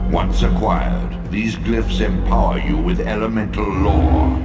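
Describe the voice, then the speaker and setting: An actor playing an ancient, all-knowing spirit mentor in a video game, grave and commanding, with a solemn, oracular delivery. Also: male voice, deep voice, vocal fry, exaggerated voice. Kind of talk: dramatic video game voice acting. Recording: long cavernous reverb, music behind.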